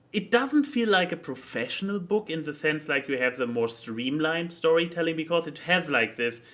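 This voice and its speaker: A man's voice: fairly high pitch, expressive, measured pace, sharp tone. A man chatting casually about a book, rambling and repeating words as he thinks aloud. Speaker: podcast speaker reviewing a book. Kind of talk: casual opinionated chat.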